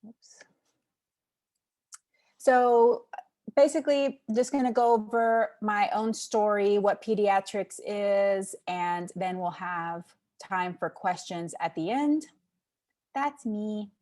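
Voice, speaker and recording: A woman's voice: mid-range voice, takes it slowly, long pauses, darkish tone. A woman in her forties from Texas, a pediatrician giving a friendly, encouraging webinar presentation over a video call. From Texas, United States. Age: 40s. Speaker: pediatrician, guest speaker.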